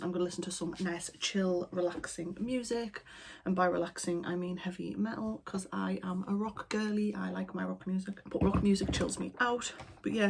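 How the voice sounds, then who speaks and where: A woman chatting casually as an art vlogger at home, talking in a relaxed, rambling way into her camera. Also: medium pitch, creaky, a little hiss or hum. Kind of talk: casual vlog chat. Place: at home indoors. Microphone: camera mic.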